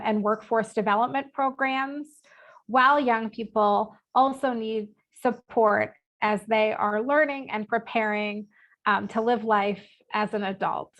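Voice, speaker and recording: A woman with a cold and a stuffed-up voice gives an earnest, steady presentation as a panel speaker at a webinar. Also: on the high side.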